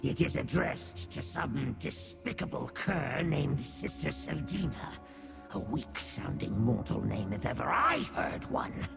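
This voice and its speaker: A man voicing a small demonic rat servant in a video game, gravelly, grovelling and grandiose in a theatrical way. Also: mid-range voice, very animated, a little slow, music in the background, heavily acted. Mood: scornful, boastful. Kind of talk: video game character acting.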